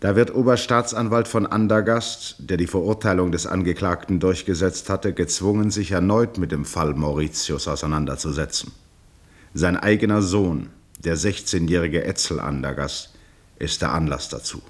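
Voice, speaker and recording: Male narrator, deep pitch, formal, measured, scripted storytelling, 1960 radio drama.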